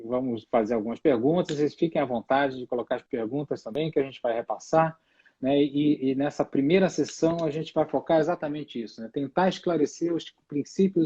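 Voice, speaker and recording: A man's voice: mid-range voice, mellow, breathy edge, lo-fi sound. A middle-aged man hosting a casual online talk, loose and full of fillers and tag questions. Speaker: host.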